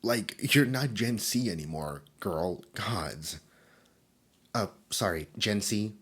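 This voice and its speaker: A chatty immortal demon of time, voiced by a man, rambling self-consciously with ums and little asides. The voice sits low and husky but swings widely in pitch, and now and then it sinks into a soft whisper for this ASMR roleplay. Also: lively intonation, takes it slowly, full character voice. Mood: gossipy. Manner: drops into soft whispers at times.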